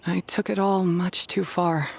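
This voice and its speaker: A woman's voice: fairly low pitch, flat delivery, a little slow, creaky, breathy edge, full character voice. A woman playing a serious, proud but humbled character in a video game, earnest and subdued. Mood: remorseful.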